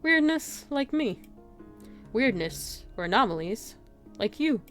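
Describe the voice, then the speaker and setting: A woman voicing a gentle, bookish man, a kind outcast scientist in a visual novel, speaking earnestly. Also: a little high, very animated, slow, soft background music, full character voice. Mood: earnest, reflective.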